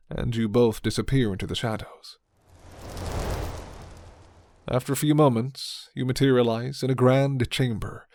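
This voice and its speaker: A man's voice: mid-range voice, darkish tone, no room sound. A man narrating a scripted ASMR roleplay story.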